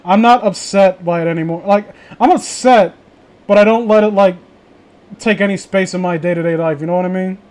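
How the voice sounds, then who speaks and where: A man's voice: high voice, fairly quick, a little mellow. A man chatting casually as a host, rambling, blunt and opinionated, with frequent swearing. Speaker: host of a casual group chat.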